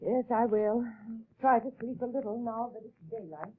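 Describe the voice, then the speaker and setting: An actress playing a frightened, fragile wife in a 1930s radio drama, tremulous and pleading, on a tinny old broadcast recording. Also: female voice, a little high, moderate pace, husky.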